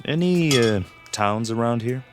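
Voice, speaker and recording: An actor playing a wary, sullen young biker outsider in a radio drama, speaking in terse, guarded statements. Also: male voice, medium pitch, animated, a little slow, soft background music, close to an everyday voice.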